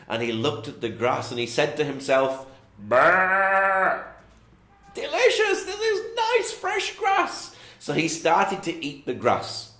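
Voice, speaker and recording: A man's voice: mid-range voice, roomy sound. A man narrating a children's Bible bedtime story, with repetitive, sing-song storytelling phrasing.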